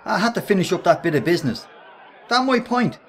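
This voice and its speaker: A man's voice: high voice, very animated, fairly quick, some chatter behind. An actor with a Dublin accent playing a brash, bullying working-class man in a radio play, cocky and needling in his slangy talk. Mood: cocky menace. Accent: Irish, Dublin. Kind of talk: radio play acting in colloquial dialogue.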